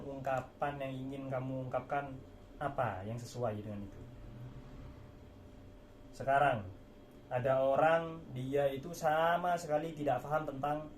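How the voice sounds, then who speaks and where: A man giving an informal lecture as a religious teacher, explaining through repetition and rhetorical questions. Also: medium pitch. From Indonesia. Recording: echoey room with faint background noise.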